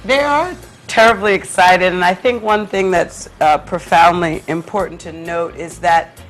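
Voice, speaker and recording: Low-pitched woman teacher in interview. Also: a little slow, soft background music. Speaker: drama teacher, interview guest. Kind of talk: conversational interview.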